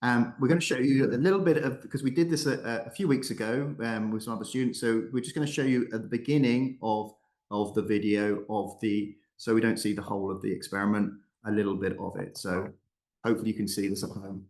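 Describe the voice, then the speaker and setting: A man's voice: lowish voice, steady tone, a little fast, a little mellow. A man hosting an online class, handing over and narrating a video casually. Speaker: presenter and host.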